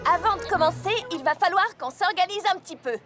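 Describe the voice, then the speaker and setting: A woman's voice: very high voice, brisk, music in the background. A woman voicing a kind, orderly young pony princess who teaches magic, composed and articulate, in a French cartoon dub. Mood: brisk, organizing.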